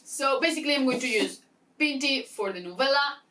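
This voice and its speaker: High-voiced woman chatting, slightly off-mic. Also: brisk, far from the mic, slight background noise. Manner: excitable, chatty, self-interrupting with asides. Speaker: book vlogger. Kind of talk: casual chatty reading-plan talk to camera.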